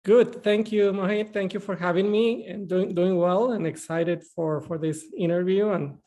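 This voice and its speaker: A man's voice: high-pitched, fairly even intonation, normal speed, warm tone. A middle-aged man from northern Mexico, speaking English as a guest on a video-call interview, often restarting and repeating words. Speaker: bank CEO, guest.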